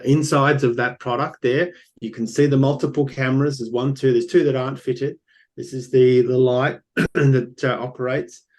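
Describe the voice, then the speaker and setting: An older man with an Australian accent talks an event audience through his engineering firm. His voice sits at an ordinary middle pitch, and he explains loosely, full of ums and restarted phrases. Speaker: company founder pitching. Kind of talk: business pitch presentation.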